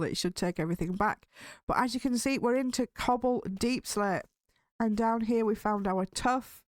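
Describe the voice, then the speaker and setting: Chatty woman hosting a game playthrough, slightly hoarse, mid-pitched, dry close recording. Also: no room sound. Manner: chatty and cheerful, thinking aloud. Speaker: gaming video host. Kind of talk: casual let's-play commentary.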